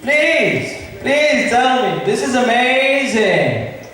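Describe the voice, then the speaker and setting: A man's voice: very high voice, big pitch swings, drawn-out words, fairly bright tone, full character voice. A man playing a genial, mischievous, lordly prince in an amateur school stage comedy. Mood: feigned curiosity. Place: large echoey indoor hall. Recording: very echoey hall from far off, hissy.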